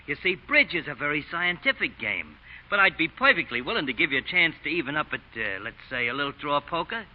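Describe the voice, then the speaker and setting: A cocky American card sharp talks in slangy, brisk bursts with lively, slightly high pitch. It is a muffled old radio drama recording. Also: male voice. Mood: eager, coaxing. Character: brash, wisecracking American card sharp, out to fleece. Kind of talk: 1930s-40s radio drama acting.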